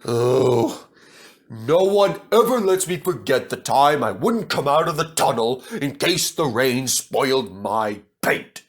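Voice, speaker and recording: A man's voice: medium pitch, expressive, slow. A man voicing a big, vain, grumpy male steam engine in a haughty, huffy manner.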